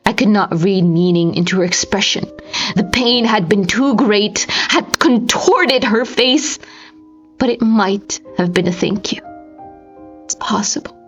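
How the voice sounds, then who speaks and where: A woman's voice: mid-range voice, lo-fi sound, soft background music. A woman giving a very dramatic, theatrical reading of a horror story. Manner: theatrical, slow, with whispers and anguished outbursts. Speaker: amateur audiobook narrator.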